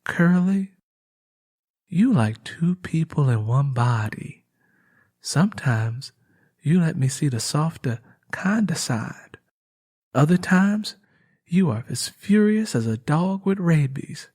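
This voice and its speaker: A man's voice: on the high side, animated, slow, a little mellow, husky, exaggerated voice. A man voicing an earnest, guarded young country woman with a cautious, pleading manner in an audiobook. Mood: cautious, hopeful. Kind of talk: dramatised audiobook dialogue.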